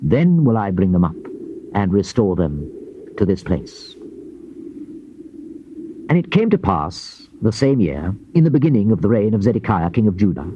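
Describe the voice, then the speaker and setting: A man narrating a dramatized Bible reading in a solemn, measured, emphatic oratorical delivery. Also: on the low side, sing-song. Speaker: scripture narrator. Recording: dull noisy old cassette tape.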